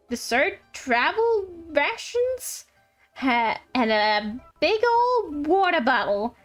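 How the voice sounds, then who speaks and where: A woman voicing a scheming, money-loving merchant making a showy, wheedling sales pitch from game text. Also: heavily acted. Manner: salesy, wheedling, drawn-out key words. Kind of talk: reading game letters aloud in character.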